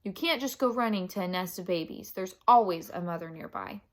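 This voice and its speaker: A woman's voice: on the low side, a little fast, a bit bright, lightly acted. A woman reading aloud as a cautious boy giving urgent instructions in a storybook. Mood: matter-of-fact.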